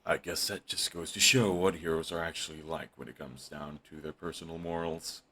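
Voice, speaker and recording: A man playing a husky, sarcastic villain. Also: very deep voice, fairly even intonation, a little fast, bright, forceful tone, exaggerated voice. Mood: subdued. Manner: sarcastic, dismissive. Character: snarky, grumpy male supervillain, middle-aged. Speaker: voice actor. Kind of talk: audio roleplay drama.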